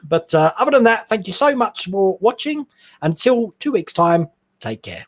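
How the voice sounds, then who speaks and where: A man hosting a relaxed live stream from his hobby room at home. His voice sits high for a man and swings up and down a lot. He reads out viewer messages and answers them in a rambling, chatty way. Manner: chatty, rambling, quick laughs. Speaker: host. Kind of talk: casual live-stream chat reading viewer comments.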